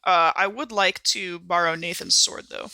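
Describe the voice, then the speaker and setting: A woman chatting casually as a player in a tabletop roleplaying game, expressive in how she talks. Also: on the low side, moderate pace, gravelly, no echo, rough recording.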